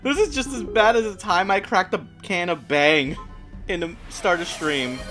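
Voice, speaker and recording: Brief: excitable male stream host, bright voice, swears a lot, faint music under. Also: high voice, moderate pace. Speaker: game master and stream host. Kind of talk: casual banter on a live stream.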